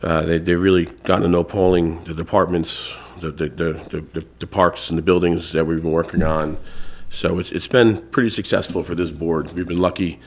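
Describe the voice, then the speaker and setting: Low-voiced, stuffed-up man in his thirties, chairing a town meeting, loose and halting. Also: fairly even intonation. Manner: casual, filler-heavy, restarts phrases. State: congested. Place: town hall meeting room.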